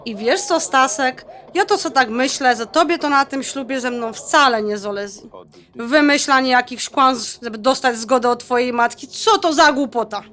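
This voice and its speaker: A high-pitched, sassy young bride-to-be, played by a woman in a radio play. She is quick-tempered and sarcastic, with speech peppered with English slang. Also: fairly bright tone. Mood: annoyed, sarcastic. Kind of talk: audio drama acting, Polish mixed with English slang.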